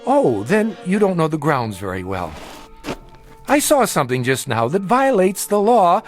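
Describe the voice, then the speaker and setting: A man's voice: a little high, sing-song, moderate pace, soft background music. An actor playing a suspicious, sarcastic male traveller in a television drama, arguing with theatrical emphasis. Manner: argumentative, sardonic, theatrical emphasis. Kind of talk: dramatic television-style acting.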